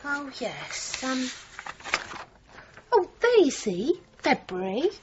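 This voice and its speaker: A woman's voice: high-pitched, sing-song, slow, heavily acted. An actress playing a gossipy, knowing woman who works at a hotel desk, in a 1980s radio drama. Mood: nosy, knowing. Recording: dull, faintly noisy radio recording.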